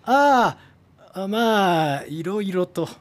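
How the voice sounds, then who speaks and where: An actress playing a weary film production colleague in a naturalistic Japanese radio drama, explaining things patiently and a little hesitantly. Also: female voice, mid-range voice, lively intonation, drawn-out words.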